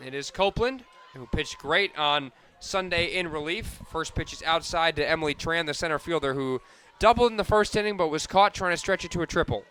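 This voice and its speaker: Male sports play-by-play announcer, radio commentary, forceful tone, smooth and crisp. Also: a little high, brisk.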